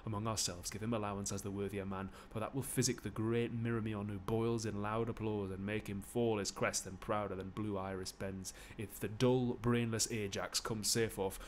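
A man playing a shrewd old Greek statesman, declaiming verse from a classical play in a measured way. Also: lowish voice, level tone, slight background noise, lightly acted.

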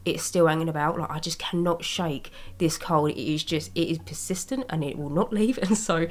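A young woman with a slightly raspy voice from a cold, chatting casually as she narrates her video-game building. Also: low-pitched, normal speed. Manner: chatty, rambling, with small laughs. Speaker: Sims speed-build YouTuber. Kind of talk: casual commentary over gameplay. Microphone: voice-over recorded separately from gameplay.

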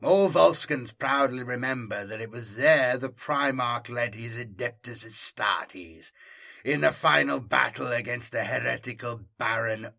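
A man acts out an imperious planetary governor for a science fiction audiobook. He is proud and guarded, with stately, formal speech. His tone is bright and forceful. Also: mid-range voice, vocal fry, heavily acted. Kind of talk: audiobook character dialogue.

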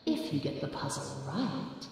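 An actress voicing a sadistic, sickly-sweet female cartoon angel villain with a gravelly edge, in an echoing hall. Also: low-pitched, sing-song, unhurried, a little mellow, slight background noise, full character voice. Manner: sickly-sweet, mocking, calls the listener honey. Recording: echoey reverberant hall, faint ambience.

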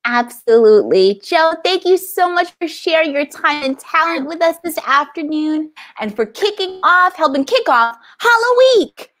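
A woman's voice: high voice, sing-song, normal speed, few pauses, fairly bright tone. A woman in her thirties hosting an online event in an upbeat style, speaking on a video call. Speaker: host of a library event. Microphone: wired earbuds on a video call.

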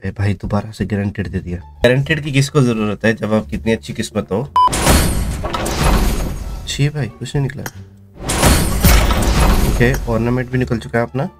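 A man's voice: medium pitch, normal speed, long pauses. A man hosting a gaming video in casual, slangy, exclaiming reaction commentary. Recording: loud music behind the voice. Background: loud music.

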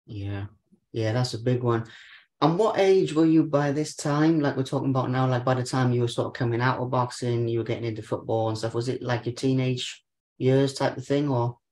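A man's voice: mid-range voice, fairly quick, darkish tone. A young adult man hosting an interview over a video call, asking long, hedging questions full of fillers. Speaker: interviewer, podcast host. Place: living room sofa, indoors. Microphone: webcam on a video call.

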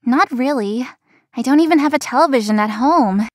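A woman playing a feisty young florist in a visual novel, earnest and expressive. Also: high voice, moderate pace, no room sound, studio quality. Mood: indignant. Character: feisty young female florist, polite but privately resentful.

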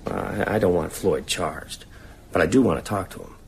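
A man's voice: low voice, expressive, normal speed, creaky, slight background noise. An actor in a radio drama playing an insistent, playful man talking intimately from his sickbed. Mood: insistent, concerned. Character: hospitalised male lover, playful yet insistent and open-minded. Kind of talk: naturalistic radio drama dialogue.

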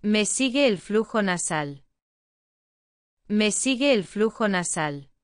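Slow, crisp woman reading phrases. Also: mid-range voice, animated, dry recording, clean studio sound. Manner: clear, careful enunciation, each phrase said twice. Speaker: language lesson reader.

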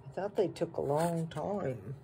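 Woman, low mellow voice, casual folksy home-video narrator, some background noise. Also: animated, a little slow. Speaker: farm vlogger. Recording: noisy home phone recording.

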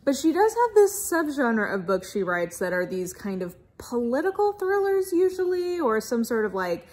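An American woman in her thirties giving a casual, chatty book review vlog with expressive, emphatic asides. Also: a little high, moderate pace, breathy edge. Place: furnished room at home, indoors.

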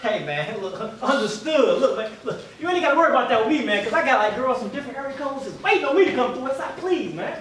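A man's voice: very high-pitched, animated, quick pace, few pauses, a bit bright. A man on stage playing a jittery, boastful young street hustler with slangy, repetitive talk. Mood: defensive. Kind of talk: stage play acting. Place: indoor theater stage. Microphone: far camera. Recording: echoey theater, far mic, noise, audience.

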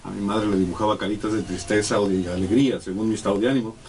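Un hombre de mediana edad de México, invitado en una entrevista de radio, que habla con muchas pausas y muletillas. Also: male voice, lowish voice, steady tone, a little mellow. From Mexico. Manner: halting, many fillers and restarts. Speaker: guest artist. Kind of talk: casual radio interview. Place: small radio studio. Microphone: desk studio mic, sitting back. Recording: distant from mic, faint room noise.

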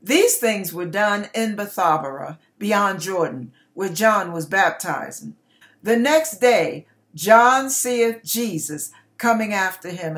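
A woman in her sixties reads the Bible aloud at a slow pace. Her voice sits at a medium pitch, and she delivers each phrase deliberately and with strong emphasis. Quoted dialogue gets a dramatic, expressive reading. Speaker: online ministry host reading scripture aloud. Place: small curtained room indoors. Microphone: webcam at desk distance.

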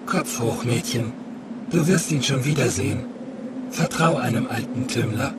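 Kindly old man, acted, loud ambient noise. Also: medium pitch, unhurried, lightly acted. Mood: calm, encouraging. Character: kindly old male companion, calm, reassuring, seasoned. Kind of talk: audio drama acting.

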